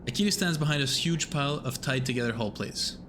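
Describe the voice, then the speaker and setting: A man's voice: medium pitch, expressive, fast talker, noisy background. Rambling self-mocking man streaming a game. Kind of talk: casual game commentary and reading aloud.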